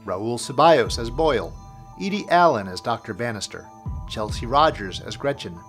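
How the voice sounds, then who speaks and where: A man's voice: mid-range voice, lively intonation, moderate pace, a bit bright. A man reading scripted closing credits as an announcer. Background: music.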